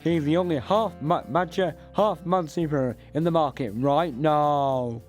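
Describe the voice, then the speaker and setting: A man playing a pushy male salesman in a spoof radio advert, giving a hard-sell pitch and repeating numbers. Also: unhurried, a little mellow, soft background music, natural voice. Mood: salesy.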